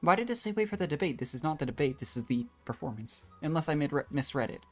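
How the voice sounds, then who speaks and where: Very low-voiced woman streaming games. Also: animated, fast talker. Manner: musing, rambling. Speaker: game streamer. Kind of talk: casual live game commentary. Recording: faint game music behind. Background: video game music.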